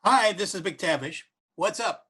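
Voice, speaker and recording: Guy doing casual upbeat event planner pal for a comedy audio play, bright punchy voice, a bit high. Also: a little slow, slightly rough, exaggerated voice. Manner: casual, energetic. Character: breezy, informal male event planner, detective's old pal. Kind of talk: comedy radio-play dialogue.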